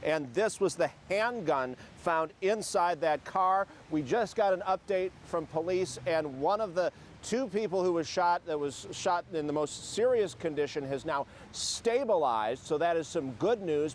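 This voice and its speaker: A man reports the news from the field in a slightly high-pitched voice. His delivery is that of scripted television journalism. Faint traffic noise sits behind him on a city street.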